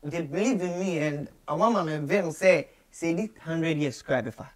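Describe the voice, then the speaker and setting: A man's voice: fairly high pitch, normal speed. A man in his twenties with a Ghanaian accent, talking casually as an interview guest and mixing English, Pidgin and Twi. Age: 20s. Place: small radio studio office, indoors.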